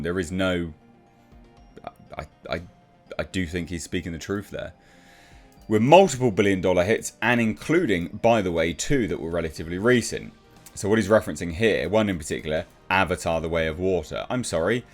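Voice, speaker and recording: Deep-voiced British man, sarcastic commentary. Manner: sarcastic, blunt, informal. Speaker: YouTube commentator. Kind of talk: casual opinionated news commentary.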